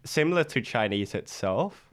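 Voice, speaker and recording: A man's voice: mid-range voice, expressive, normal speed. A young man, a guest in a casual interview, speaking hesitantly with many fillers and restarts. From Vietnam.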